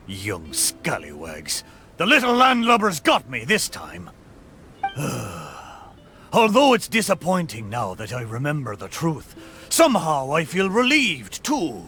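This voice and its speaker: Bright, swooping ship spirit. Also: male voice, a little high, huge pitch swings, slow pace, soft background music, exaggerated voice. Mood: resigned, relieved. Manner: theatrical, exclamatory pirate talk. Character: spirit of an old wrecked pirate ship, boisterous, emotional. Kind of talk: dramatic video game voice acting.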